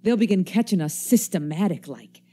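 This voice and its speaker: Low, swooping, cynical survivor. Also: female voice, animated, normal speed, mellow, husky. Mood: scheming, scornful. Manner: folksy, clipped slangy speech, cynical. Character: wary, embittered survivor stranger armed with a knife. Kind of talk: radio play acting.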